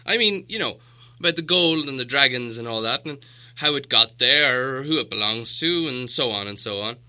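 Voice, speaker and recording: A man's voice: mid-range voice, animated, moderate pace, bright, forceful tone. A man voicing a flustered but stubborn little hobbit in an audiobook, hesitant, fussy and primly businesslike. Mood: confused, hesitant. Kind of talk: audiobook character dialogue.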